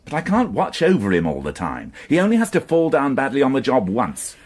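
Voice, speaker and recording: An actor playing a wry, devoted police inspector in a radio drama, speaking with dry sarcasm. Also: male voice, medium pitch, wildly swooping pitch, fairly quick, a little mellow, slightly rough. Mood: worried. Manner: dry, sardonic. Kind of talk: BBC radio drama acting.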